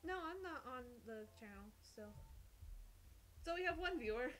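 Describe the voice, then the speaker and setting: A woman's voice: high voice, expressive, normal speed, fairly bright tone, slight background noise. A woman co-hosting a livestream in casual chat. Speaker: livestream co-host.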